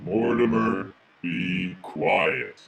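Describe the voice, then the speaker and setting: A stern, commanding policeman acted by a man in a children's story reading. The voice is digitally lowered and deep, with a heavy gravelly creak, and delivered very slowly. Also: fairly bright tone, heavily acted. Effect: pitch shifted down digitally.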